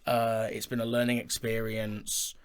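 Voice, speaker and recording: A man's voice: lowish voice, completely flat delivery, a little slow, clear, resonant tone. A man co-hosting a casual conversation about productions, with a dry, joking manner. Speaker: audio drama maker, co-host.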